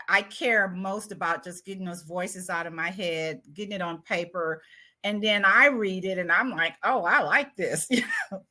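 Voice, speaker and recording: A woman's voice: lowish voice, pushed and bright. A woman writer answering interview questions, reflective and a bit self-doubting, with frequent fillers and light laughs. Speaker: award-winning writer, guest.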